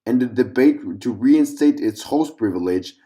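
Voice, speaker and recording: Mellow-voiced man reading a clinical report. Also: medium pitch, expressive, moderate pace. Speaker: narrator. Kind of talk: scripted narration of a fictional document.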